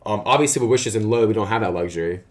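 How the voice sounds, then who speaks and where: A young man with a lowish, raspy voice that still sounds like early morning. He talks loosely and casually into a desk mic, wandering through thoughts with plenty of filler words. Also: rapid-fire. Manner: rambling, lots of fillers like "uh" and "like". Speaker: card game streamer. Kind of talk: casual live-stream chat.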